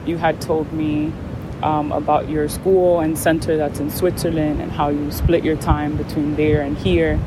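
A woman's voice: deep voice, little pitch movement, unhurried, noisy background. A woman hosting an interview, earnest and effusive in her thanks to her guest. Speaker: host. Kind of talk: interview host.

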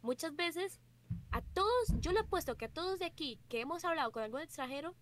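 A young woman from Costa Rica, chatting in a relaxed and talkative way. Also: extremely high pitch, brisk, background noise. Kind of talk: casual group chat.

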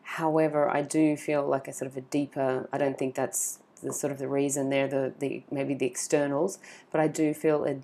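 A woman's voice: a bass voice, level tone, normal speed, warm tone. An Australian woman in her forties, chatting as an interview guest, rambling with lots of fillers and restarts. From Sydney, Australia. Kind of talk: casual interview.

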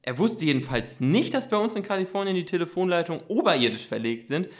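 A man's voice: high-pitched, animated, quick pace, voice pushed up. A man playing an earnest, pedantic teenage boy detective with formal, precise wording in an amateur radio play.

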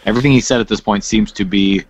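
A man's voice: on the low side, fairly even intonation, fast. A man co-hosting a comedy podcast with joking, conversational banter. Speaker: podcast co-host.

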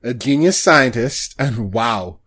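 A man hosting a podcast, chatting casually about shows in a halting, hesitant way. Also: medium pitch, expressive, a bit bright. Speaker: podcast host.

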